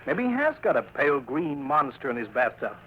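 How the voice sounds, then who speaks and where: A man acting a brash, pushy newspaper reporter in 1940s radio style, brisk and slangy. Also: medium pitch, big pitch swings, natural voice. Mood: breezy. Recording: muffled, noisy old radio recording.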